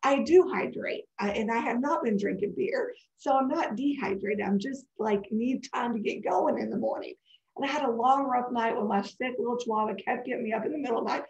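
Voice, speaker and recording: A woman's voice: a little high, fairly quick, creaky, darkish tone. A woman in her forties giving a live webinar over a video call. She talks in a chatty, digressive way, and her voice sounds congested and scratchy. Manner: chatty and digressive, answering questions. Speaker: webinar presenter. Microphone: webcam video call. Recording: dull echoey video-call audio.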